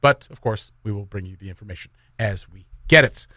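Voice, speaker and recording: A man's voice: fairly low pitch, a little fast, sharp tone, no room sound, clean studio sound. A man hosting a morning radio show with casual, joking, rambling banter and announcements. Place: radio studio.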